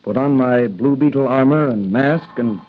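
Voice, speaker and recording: A man's voice: mid-range voice, normal speed, lightly acted. An actor playing a brave, determined young patrolman who secretly fights crime, in 1940s radio drama style. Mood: earnest, convinced. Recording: tinny, band-limited old radio recording.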